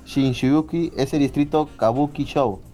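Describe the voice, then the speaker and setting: A man's voice: a little high, a little slow, dark tone, faint music behind. A man hosting a casual chat about new anime, laughing, swearing often and reading out show listings. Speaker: podcast host.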